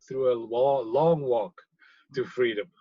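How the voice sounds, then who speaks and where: A man from South Africa, a pharmacy technician, answering interview questions thoughtfully, with frequent pauses and fillers. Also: mid-range voice, warm tone, slightly rough, no room sound, muffled recording. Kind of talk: conversational interview.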